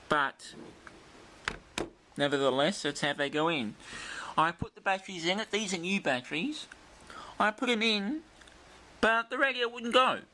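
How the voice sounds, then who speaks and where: Bright, higher-voiced man explaining carefully. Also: slight background noise. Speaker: presenter of a vintage radio demonstration video. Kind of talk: unscripted product show-and-tell. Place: indoors in a bright room.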